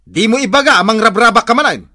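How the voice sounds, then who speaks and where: A man's voice: high voice, quick pace, pushed and bright, dry recording, studio quality. A man with an Ilocano accent playing an exasperated, stern village husband who scolds and mocks in a comedy radio drama. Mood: scolding. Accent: Filipino, Ilocano. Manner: scolding, emphatic, swooping delivery.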